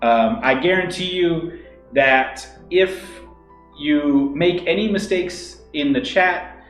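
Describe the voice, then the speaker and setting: A man's voice: fairly high pitch, slow pace, fairly bright tone. A man co-hosting an English-teaching livestream, chatting casually and rambling with many fillers and restarts. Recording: roomy echo with faint music.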